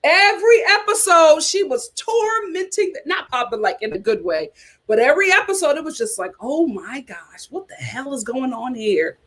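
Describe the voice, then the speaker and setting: A young woman hosting a casual reality TV chat, sassy and animated, talking straight to her viewers. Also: sits high, normal speed. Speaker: host.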